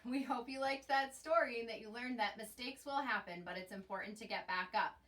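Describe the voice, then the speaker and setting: A woman's voice: fairly high pitch, brisk, a bit bright. A woman introducing a bedtime read-aloud for a school, recorded at a distance from the mic.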